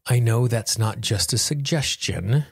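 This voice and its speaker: A man's voice: mid-range voice, measured pace, creaky, slightly rough, no room sound, clean studio sound. A man hosting a self-help podcast, speaking in an earnest, encouraging manner. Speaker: podcast host. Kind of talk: guided self-help tapping affirmations and podcast talk.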